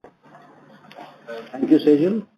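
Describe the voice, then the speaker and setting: A man's voice: fairly high pitch, animated, lo-fi sound. A man, a senior executive giving formal, hesitant closing remarks on a faintly noisy conference call. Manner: deliberate, hesitant.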